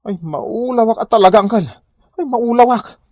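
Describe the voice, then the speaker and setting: A man's voice: very high-pitched, moderate pace, a little mellow, no echo, muffled recording. An actor playing a flustered, aggrieved village man in Ilocano comedy drama, with an Ilocano accent.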